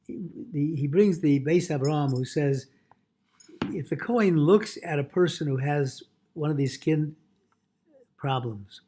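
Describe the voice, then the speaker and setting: A male rabbi gives a Torah lesson in a husky, mellow voice pitched a little high. He reads a passage, translates it, and explains it haltingly, often repeating his phrases. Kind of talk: religious lesson, reading and translating a text aloud.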